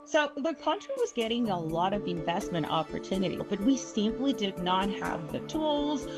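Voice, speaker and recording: A woman working in government energy planning, speaking slowly and earnestly in an interview testimonial. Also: medium pitch, very animated, music in the background.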